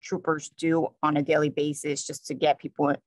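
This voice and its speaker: Flat-voiced man with a New York accent. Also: on the high side, normal speed, no room sound. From New York, USA. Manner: plain, conversational, with many ums and restarts. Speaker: state police sergeant, panelist. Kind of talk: panel self-introduction.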